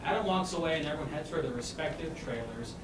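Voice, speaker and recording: A man's voice: a little high, quick pace, slight background noise. A man narrating stage directions at an informal comedic table read in a loose, amused, stumbling way. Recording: echoey room, distant mic, loud music behind.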